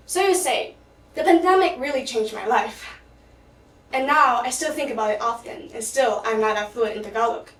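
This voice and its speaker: A woman's voice: a little high, a bit bright. Teenage girl giving a contest speech in an echoey hall. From Philippines. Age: teens. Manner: earnest, with small restarts. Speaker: student contestant. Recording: echoey hall, mic at a distance.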